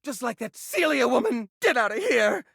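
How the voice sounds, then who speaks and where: Casting: neurotic junk-drawer hoarder for a game; swooping pitch, gravelly creak, whiny and theatrical. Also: male voice, sing-song, moderate pace, bright, forceful tone, no echo, clean studio sound, heavily acted. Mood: bitter, accusing. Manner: theatrical, whiny, defensive, stammering when upset. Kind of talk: video game character voice acting.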